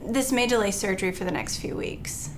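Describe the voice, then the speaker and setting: A woman playing a calm, firm anesthesiologist who explains things tactfully in a training role-play. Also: medium pitch, brisk, background noise, natural voice. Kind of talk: role-played training dialogue.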